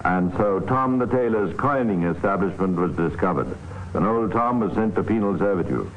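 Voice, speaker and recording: Old-time radio crime narrator, authoritative, lowish, muffled roomy recording. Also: male voice, animated, moderate pace. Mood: grave storytelling. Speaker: narrator and host. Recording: dull, echoey, noisy old radio recording.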